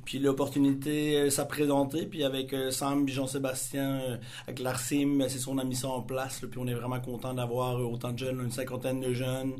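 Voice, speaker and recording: A man's voice: medium pitch, completely flat delivery, a little fast, few pauses, a little mellow. A man with a Magdalen Islands French accent, a soccer coach answering interview questions in eager, filler-heavy speech. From Magdalen Islands, Quebec.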